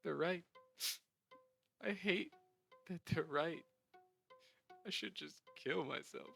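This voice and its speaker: A man's voice: fairly high pitch, slow, gravelly, fairly bright tone, slightly rough, faint music behind, voice pushed up, heavily acted. A man voicing a despairing, bullied teenage boy talking through sobs. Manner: sobbing, broken.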